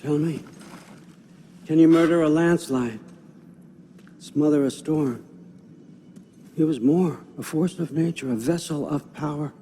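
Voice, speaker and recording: An actor playing a cunning, aging one-eyed god in a video game cutscene, a manipulative father who talks conversationally, with sardonic, persuasive phrasing and pointed pauses. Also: a little high, mellow, slight background noise, close to an everyday voice. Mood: earnest, confiding. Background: music.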